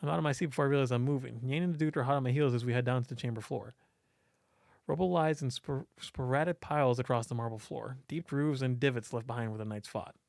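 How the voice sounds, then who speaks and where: A man's voice: medium pitch, fast talker, vocal fry, a little mellow, dry recording, clean studio sound. A man giving a dramatic read-aloud narration of a visual novel, now and then stumbling over his words. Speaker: game let's-play host and voice actor.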